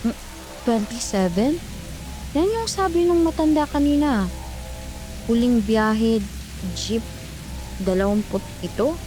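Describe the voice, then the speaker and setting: A woman's voice: on the high side, animated, slow pace, pauses often, music in the background, rough recording. A woman with a Filipino accent playing a wary, bossy young call center agent in an audio horror drama, speaking colloquially. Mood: uneasy.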